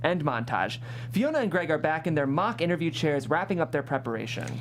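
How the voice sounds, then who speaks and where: Animated reader of screenplay stage directions. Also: medium pitch, a little fast. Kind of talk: scripted narration at a screenplay table read. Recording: hum and background noise.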